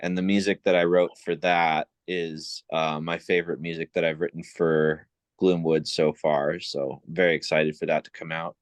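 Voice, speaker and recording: A man talking casually as a guest in an interview, unhurried and filling gaps with ums and uhs. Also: very deep voice, level tone, smooth, no room sound. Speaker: composer and sound designer guest.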